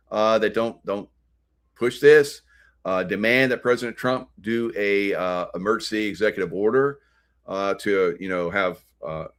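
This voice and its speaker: An American man hosting an unscripted political commentary, speaking in a halting, rambling way. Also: lowish voice. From United States. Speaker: host of a political commentary show.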